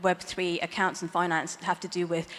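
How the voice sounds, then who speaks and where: A woman's voice: fairly low pitch, level tone, moderate pace, a bit bright. A middle-aged woman lawyer giving a chatty, self-deprecating conference talk from a stage, with small laughs and fillers. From Dutch, Hong Kong, Chinese background; lives in the UK. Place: conference stage in a large hall. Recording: reverberant conference hall.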